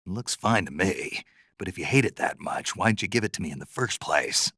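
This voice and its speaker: A man playing a gruff, terse American soldier on a covert mission in a video game, with a low, gravelly, husky voice. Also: animated, pushed and bright, no echo, heavily acted. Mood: businesslike. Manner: terse, deliberate, dry. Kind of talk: dramatic game voice acting.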